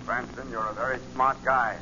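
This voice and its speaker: Brusque police voice on a phone, old radio drama, dull and clearly noisy. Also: male voice, mid-range voice, steady tone, normal speed, bright, forceful tone. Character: curt, businesslike policeman on the telephone. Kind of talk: 1940s radio acting. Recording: muffled, noisy 1940 radio recording.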